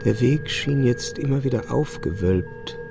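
An actor playing an ailing, weary first-person narrator in a literary radio play, measured and introspective. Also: male voice, medium pitch, expressive, creaky. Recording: music bed behind narration.

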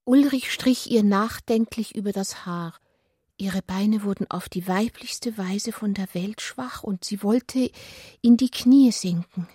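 Breathy woman narrating literary fiction. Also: mid-range voice, takes it slowly, dark tone, no echo, studio quality. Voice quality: breathy, often falling to a whisper. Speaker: narrator of a radio literary adaptation. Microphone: close studio mic, dry.

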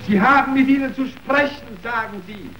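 A man's voice: extremely high pitch, normal speed, pushed and bright, slight background noise. A man acting a dutiful underling who formally announces visitors in a radio play, recorded distant and echoey. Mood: deferential.

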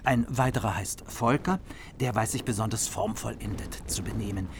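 An actor playing a courteous, humble margrave in a German radio play, with measured and formal speech. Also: male voice, on the low side, vocal fry, noisy background. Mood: instructing. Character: courteous, humble margrave, proud husband and father. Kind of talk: German radio play acting of medieval epic.

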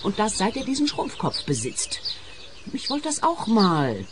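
A discontented, peevish woman in a radio drama. Her melody is very lively and snippy, and clear outdoor birdsong runs behind her. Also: mid-range voice, measured pace, noisy background. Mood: self-pitying. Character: peevish, discontented adult daughter, a cornered killer. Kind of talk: radio play acting.

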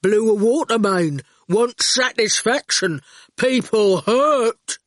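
A woman voicing a big, gruff, terse man who speaks in clipped fragments for a storybook. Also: on the high side, expressive, slow, no room sound, clean studio sound, pitched above the performer's own voice. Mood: blunt, demanding. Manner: clipped fragments, dropping pronouns and small words.

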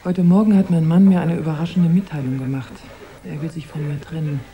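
A woman's voice: low voice, fairly even intonation, a little fast, muffled recording, natural voice. An actress playing a young, worldly married woman in a radio drama, wry, composed and wistful. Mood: bittersweet.

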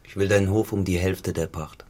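An actor playing a cynical, dissolute farmhand turned tenant in a literary radio drama, grave and deliberate in manner. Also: male voice, low voice, fairly even intonation, a little mellow. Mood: cool, insolent.

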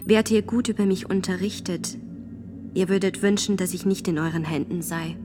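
A woman's voice: mid-range voice, moderate pace, music in the background, natural voice. An actress in a radio drama playing a devout, defiant nineteen-year-old peasant woman on trial, steadfast and plain-spoken in manner. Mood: quietly defiant.

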